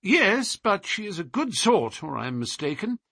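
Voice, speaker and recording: Storybook gentleman sleuth, voiced by a man. Husky, precise, philosophical, self-assured, highly melodic delivery. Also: big pitch swings, moderate pace, dry recording, studio quality, full character voice. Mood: animated. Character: brilliant, musing, self-assured gentleman detective.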